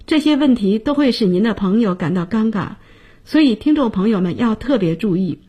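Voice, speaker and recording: A woman presenting a scripted radio language lesson, explaining and addressing listeners in a clear, instructive way, recorded on a studio mic. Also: a little high, expressive, normal speed, warm tone.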